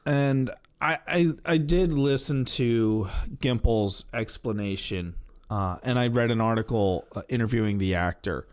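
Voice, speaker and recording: A man's voice: mid-range voice, measured pace, darkish tone. A man co-hosting a podcast in a casual chat, often repeating phrases for emphasis and acting out quotes. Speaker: podcast co-host. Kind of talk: casual chat about TV and comics.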